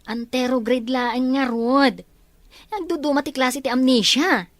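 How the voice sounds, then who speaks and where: A woman's voice: high voice, unhurried, no room sound. A woman acting a caring, level-headed friend in an audio drama. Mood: explanatory.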